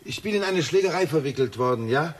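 An actor playing a flustered, evasive middle-aged husband in a radio drama. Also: male voice, on the high side, animated, fast, a little hiss or hum, lightly acted. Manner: apologetic, evasive.

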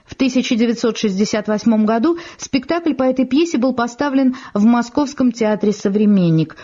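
A woman presenter giving a scripted introduction to a radio play in a measured, articulate, explanatory way. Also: a little high, mellow. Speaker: radio theatre announcer and presenter. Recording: muffled archival radio recording.

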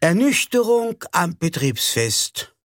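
A man's voice: high-pitched, big pitch swings, takes it slowly, music in the background. A man announcing scripted titles and stage headings for a radio play.

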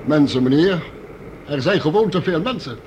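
A man's voice: fairly high pitch, animated, normal speed, a little mellow, highs cut off, a little hiss or hum, lightly acted. An actor playing a polite, talkative male guard at an underground station, courteous and deferential in conversational radio play style. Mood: helpful.

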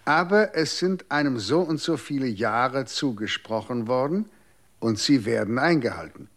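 An actor in a German radio play playing a formal, stern official who enforces a social contract. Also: male voice, mid-range voice, animated, normal speed, fairly bright tone. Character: male official guarding a deadly social contract.

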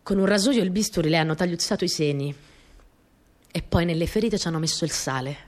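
Actress playing young Italian partisan woman, lowish voice, earnest, dreamy, intimate radio theatre storytelling, short conversational phrases. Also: fast, darkish tone, natural voice. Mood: grave, subdued.

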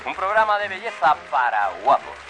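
High, manic, cruel TV showman. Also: big pitch swings, moderate pace. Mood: gleeful, cruel. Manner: theatrical, mocking. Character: manic sadistic TV presenter, male, gleefully cruel showman. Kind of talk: satirical radio drama, showman announcing. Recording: loud crowd and music behind.

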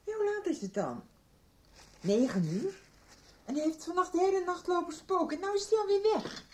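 A woman playing a worried, brisk middle-aged mother in a radio soap, probing with questions. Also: extremely high pitch, big pitch swings, a little mellow. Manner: agitated, questioning. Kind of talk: 1990s radio soap acting.

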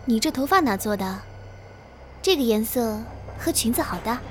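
Girl playing young chatty late-night train passenger, high voice, kinda nonchalant, bit of rumble behind. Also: animated, normal speed, close to an everyday voice. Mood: curious, casual. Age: young adult. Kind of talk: conversational horror drama acting.

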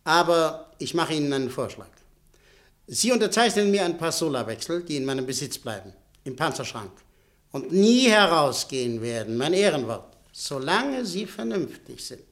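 A man acting a smooth, calculating middle-aged businessman in a German radio play, coolly polite. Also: a little high, sing-song, normal speed, fairly bright tone. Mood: calm. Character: scheming middle-aged male press magnate. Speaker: businessman Buscan.

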